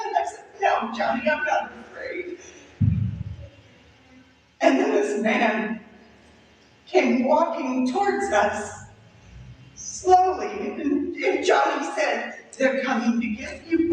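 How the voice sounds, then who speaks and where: A shaken young woman, high-pitched and stammering, coming apart in a horror film. Her voice echoes heavily and sounds a long way off, with a long reverb tail. Faint hiss sits under it. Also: lively intonation, slow, pauses often, far from the mic. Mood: dazed. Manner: stammering, repeating words, pleading hysterically. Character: terrified young woman in shock, babbling. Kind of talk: 1960s horror film acting.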